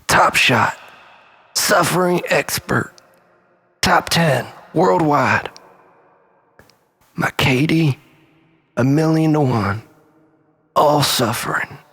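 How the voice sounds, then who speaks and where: Slow husky man in long reverb. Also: a little high, a bit bright. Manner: rambling, melodramatic, mock-philosophical. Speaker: storyteller. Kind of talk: dramatic comic monologue storytelling. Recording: long reverberant hall echo.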